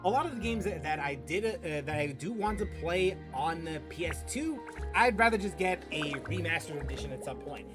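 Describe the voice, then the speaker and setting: A young man streaming games, chatting casually in a rambling, halting way and repeating phrases for emphasis. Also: fairly high pitch, lively intonation. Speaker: gaming streamer. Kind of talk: casual, rambling gameplay chat. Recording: game music behind.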